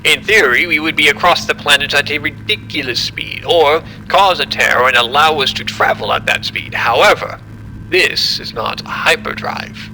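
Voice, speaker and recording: A man playing a formal, measured scientist who explains a disaster in an audio drama. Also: fairly high pitch, bright, forceful tone, slight background noise, rough recording. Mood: grave, deliberate. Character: apologetic, condescending male scientist explaining a disaster.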